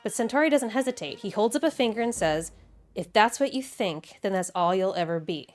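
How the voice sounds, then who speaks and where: A woman's voice: mid-range voice, expressive, a little fast, darkish tone, soft background music. Wry American woman narrating a video essay. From Midwestern United States. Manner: wry, conversational, emphatic. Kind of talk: scripted video essay narration with casual asides.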